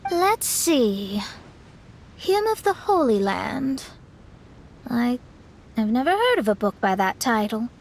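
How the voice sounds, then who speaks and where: A woman's voice: on the high side, sing-song, slow, pauses often, heavily acted. A woman playing a poised, decisive young woman in a fantasy video game, speaking articulately. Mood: curious. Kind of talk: video game character dialogue. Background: music.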